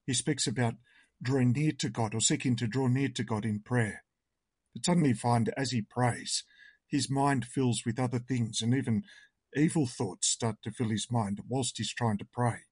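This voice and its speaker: A man with a hoarse, croaky voice, leading an online worship service, reading a hymn and praying in a slow, earnest and reverent manner. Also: medium pitch, a little mellow, dry recording.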